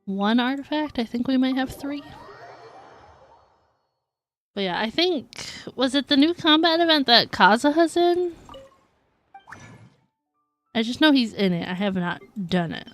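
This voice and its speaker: A woman's voice: high voice, animated, moderate pace. A woman livestreaming a game, chatting casually and in a giggly way. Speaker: game streamer. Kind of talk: casual livestream chat over gameplay. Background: game music.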